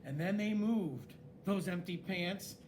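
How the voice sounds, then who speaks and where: A man reading a children's story aloud in an expressive, dramatic way, with swooping emphasis. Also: high voice, takes it slowly, a little hiss or hum. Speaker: story reader.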